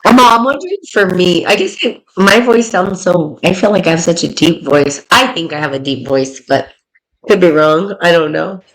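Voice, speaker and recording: A woman podcast co-host in casual chat, loud and rambling, laughing often. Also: on the low side, lively intonation, normal speed, low-quality recording.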